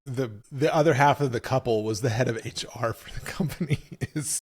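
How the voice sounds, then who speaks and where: A man's voice: mid-range voice, fairly quick, breathy edge. A man co-hosting a podcast, chatting casually with enthusiasm and swearing now and then. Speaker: podcast co-host. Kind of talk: casual chat.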